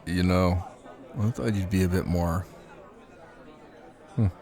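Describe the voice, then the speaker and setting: A man playing a possessive, menacing Italian mafia husband in an intimate ASMR roleplay, speaking in a commanding way with deliberate pauses. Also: low voice, fairly even intonation, warm tone, some chatter behind. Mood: measured, patient.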